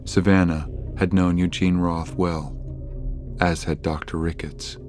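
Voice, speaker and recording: A man narrating a scripted audio drama in a measured, ominous storytelling manner. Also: sits low, little pitch movement, darkish tone. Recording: music under the narration.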